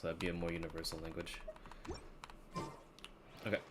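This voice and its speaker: A man's voice: low-pitched, flat delivery, a little fast. A man chatting casually as he streams a video game. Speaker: live streamer. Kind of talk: casual gaming stream chat. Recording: game music behind voice. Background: video game music and dialogue.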